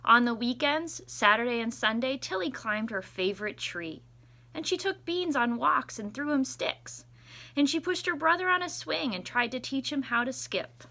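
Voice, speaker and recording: Woman, teacher reading aloud to children, fairly high pitch, bright tone, expressive deliberate phrasing. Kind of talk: read-aloud storytelling for children.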